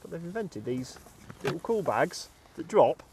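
A middle-aged British man presenting fishing gear outdoors by a lake, explaining uses and value in a steady, practical way. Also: on the high side, normal speed, a little hiss or hum, low-quality recording. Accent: British English. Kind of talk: casual review chat.

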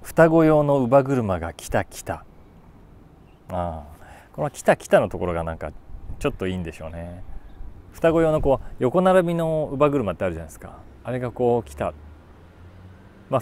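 A man in his forties talking casually about a book, thinking aloud and reading short lines with animated emphasis. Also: mid-range voice, quick pace, a little hiss or hum. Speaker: YouTube host, voice actor. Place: outdoors on a city rooftop.

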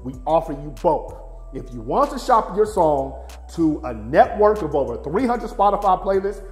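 An American man speaks in a somewhat high-pitched voice, explaining things bluntly and emphatically with slang and swearing. The room adds clear echo, and music plays underneath. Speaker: music promoter and video host. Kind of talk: opinionated explainer to camera. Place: small indoor office studio.

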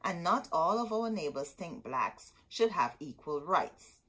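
A woman voicing a sympathetic, resigned female schoolteacher in a storybook, explaining things gravely. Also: lowish voice, expressive, a little slow, fairly bright tone, full character voice.

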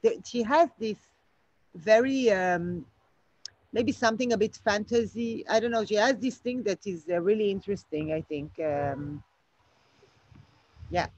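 A woman's voice: medium pitch, lively intonation, unhurried, pauses often, a little mellow. A woman director, speaking hesitantly and thoughtfully over a video call from outdoors at night. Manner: hesitant, thoughtful, many fillers. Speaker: film director. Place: outdoors at night, home garden. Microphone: laptop webcam video call. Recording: dull lo-fi video call audio.

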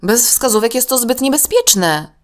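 Woman doing an anxious little boy from a kids story, raised pitch, earnest and a bit hesitant. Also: very animated, unhurried. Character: anxious, shy young boy who fibs.

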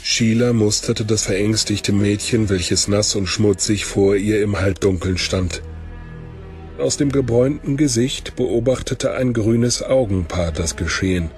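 A man's voice: on the low side, a little slow, a little mellow, soft background music, close to an everyday voice. A man reading scripted narration for a fantasy audio drama.

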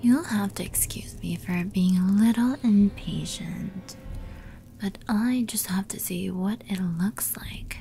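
Woman playing a slow, whispering seductive demoness. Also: medium pitch, mellow, slight background noise. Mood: predatory, seductive. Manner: teasing, predatory, breathy whispering. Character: seductive, menacing female soul-eating demon, toying with prey. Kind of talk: whispered ASMR roleplay.

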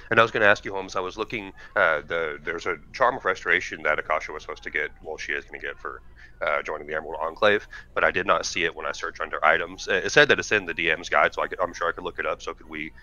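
Low, bright-edged game master on voice chat. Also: male voice. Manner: loose, halting recap with many fillers. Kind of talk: casual tabletop game session over voice chat. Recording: dull lo-fi voice chat with faint hiss.

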